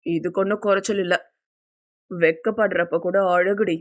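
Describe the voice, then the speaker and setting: Woman voicing a flustered lovestruck young man, pitched down. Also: fairly even intonation, normal speed, close to an everyday voice. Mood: suspicious, fond. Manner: exclaiming, scolding affectionately. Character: flustered young man in love, gruff and teasing. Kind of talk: Tamil audio novel character acting.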